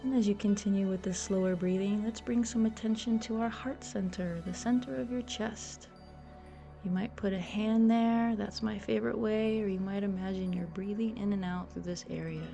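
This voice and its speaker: A woman leading a guided meditation in a soothing, gentle, unhurried and encouraging way. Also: mid-range voice, fairly even intonation, vocal fry. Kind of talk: guided meditation, soft instructional narration. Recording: soft music behind the voice. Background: meditation music.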